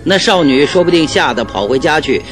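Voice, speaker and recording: A man playing a confident, analytical private detective in a Mandarin radio drama, reasoning in measured, questioning phrases. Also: on the high side, lively intonation, faint music behind, natural voice.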